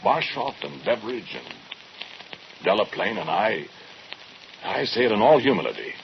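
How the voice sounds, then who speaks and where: Brief: fatalistic weapons scientist for vintage radio drama. Halting, insistent, widely swinging delivery; noisy old recording. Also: male voice, fairly low pitch, big pitch swings, creaky, muffled recording, full character voice. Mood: resigned, insistent. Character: obsessed, fatalistic male scientist who builds weapons, growing paranoid. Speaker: radio actor.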